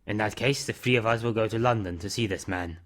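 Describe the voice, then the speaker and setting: A man playing an older reclusive character in an amateur audio drama, calm, firm and fatherly, speaking in terse, measured phrases. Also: on the low side, natural voice. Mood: reassuring, steady.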